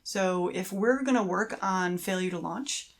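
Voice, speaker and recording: A woman's voice: lowish voice, measured pace. A middle-aged woman co-hosting a podcast, speaking casually in an affirming, explanatory way. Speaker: podcast co-host. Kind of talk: casual conversational talk about creative habits.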